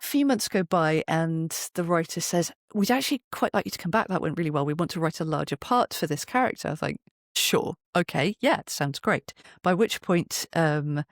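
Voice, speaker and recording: Androgynous guest voice, medium pitch, animated anecdotal chat, close dry booth sound. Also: no echo. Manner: animated storytelling, acts out remembered remarks. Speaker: guest, voice actor and narrator. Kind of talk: casual anecdotal interview chat. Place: home recording booth.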